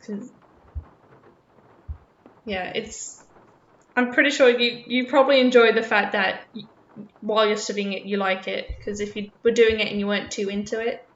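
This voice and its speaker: A woman's voice: medium pitch, steady tone, moderate pace, pauses often, reverberant, lo-fi sound. A woman from the UK, raised partly in Australia, chatting casually with viewers as a game streamer in a nervous, rambling way. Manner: nervous, rambling, self-conscious. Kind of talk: casual chat with viewers while playing.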